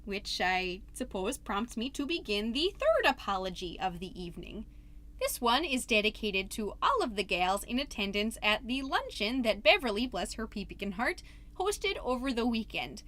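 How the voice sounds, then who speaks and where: A woman's voice: fairly high pitch, big pitch swings, fairly bright tone. A woman playing a folksy, rambling suburban PTA mom giving an over-polite, theatrical comedy monologue as a podcast host. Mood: chatty, polite. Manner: chatty, over-polite, self-interrupting, theatrically drawn-out phrases.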